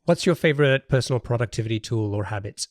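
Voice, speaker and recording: A man's voice: mid-range voice, animated, fast talker, no echo, clean studio sound. A man hosting a casual interview podcast, thinking aloud with hesitations and repeated words.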